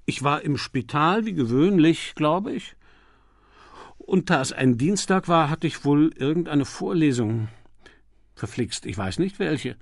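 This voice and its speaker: A man's voice: a little high, expressive, measured pace, no room sound. Flustered, self-doubting young male student played by a male reader, hesitant, audiobook dialogue, close dry sound. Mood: unsure, flustered. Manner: hesitant, exclaiming, thinking aloud.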